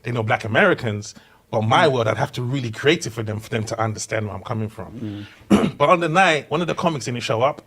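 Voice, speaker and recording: A young man with a London accent, guesting on a podcast as a comedian. He spins anecdotes with lively energy, laughs through his punchlines and slips into quoted voices. His voice sits at an ordinary middle pitch. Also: brisk. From Hackney, East London. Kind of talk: casual chat, anecdotal storytelling.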